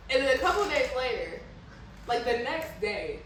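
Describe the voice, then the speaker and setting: A woman's voice: a little high, normal speed. Casual American woman podcast host, informal storyteller, echo-heavy room, set back from the mic. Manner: animated retelling, acting out quoted dialogue; informal, swears freely. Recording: very echoey room, distant mic, faint noise.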